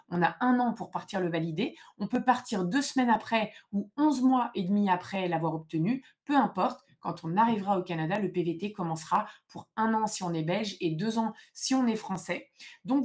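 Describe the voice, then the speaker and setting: A woman's voice: mid-range voice, darkish tone. A woman hosting an informal live question-and-answer session, explaining things in a direct, emphatic way. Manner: emphatic, direct, advising listeners.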